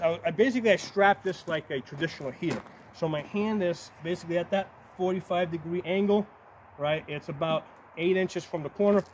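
A man's voice: high-pitched, a little mellow. A man in his forties giving a casual, hands-on demonstration to camera outdoors, explaining step by step and often checking in with "right?" or "okay?". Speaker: medieval combat fighter demonstrating shields. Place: outdoors on a gravel yard by woods. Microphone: camera a few metres away. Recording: noisy outdoor camera audio.

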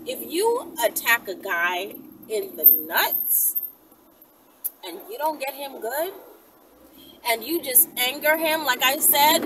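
A woman in her thirties chatting casually to camera from inside a car, speaking emphatically and repeating herself, with frequent check-ins to her viewers. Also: far from the mic. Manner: emphatic, repetitive, checks in with "right?" and "okay?". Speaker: vlogger answering viewer questions. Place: inside a car, often moving. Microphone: camera or phone mounted at arm's length. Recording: noisy car interior, off-mic, vehicle rumble. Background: loud vehicle and road noise.

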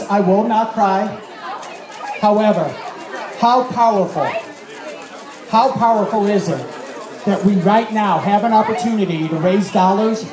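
A man calling a live charity auction, energetic and joking, rattling off bids fast. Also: sits high. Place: large event tent with a crowd. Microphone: handheld mic through PA, filmed from audience phone. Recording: echoey PA sound with crowd.

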